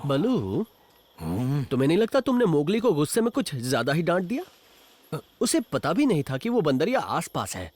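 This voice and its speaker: सजग, समझदार तेंदुआ. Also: male voice, fairly high pitch, big pitch swings, moderate pace, a little mellow. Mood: concerned. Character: sensible watchful male panther. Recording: music behind the voice.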